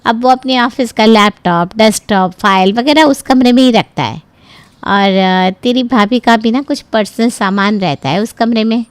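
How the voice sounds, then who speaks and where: A woman's voice: fairly high pitch, normal speed, clear, resonant tone, no echo, close to an everyday voice. A practical middle-aged mother from a cartoon family tale, played by a woman. She coaxes and explains, trying to placate. The recording is dry and free of room sound. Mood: justifying. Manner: coaxing, explaining. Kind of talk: cartoon story dialogue.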